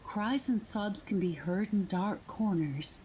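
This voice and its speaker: A woman playing an eerie tour guide in a horror audio drama, telling the story in a theatrical, hushed way. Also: medium pitch, takes it slowly, slight background noise, close to an everyday voice. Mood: ominous. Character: eerie female tour guide of a haunted town.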